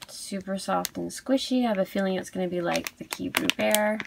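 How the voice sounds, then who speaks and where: A woman giving a casual unboxing review as a video host, cooing softly over cute things. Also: medium pitch, a little fast, vocal fry, heavy background noise. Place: indoors at a tabletop.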